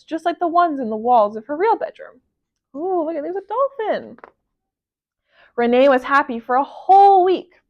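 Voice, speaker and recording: A woman reading a children's picture book aloud as a library storyteller, expressive and emphatic. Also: high-pitched.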